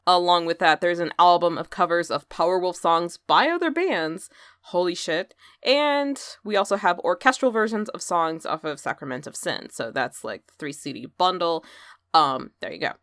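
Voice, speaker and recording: A woman hosting a scripted metal news podcast, excitable and jokey, cursing now and then and breaking into loud bursts of enthusiasm. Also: fairly low pitch, moderate pace, no echo, studio quality. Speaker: podcast host.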